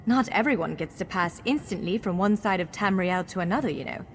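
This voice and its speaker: A woman's voice: lowish voice, expressive, fairly quick, slight background noise. A woman playing an earnest, encouraging female elf scholar who guides a hero in a fantasy video game. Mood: friendly. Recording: long hall reverb, faint music.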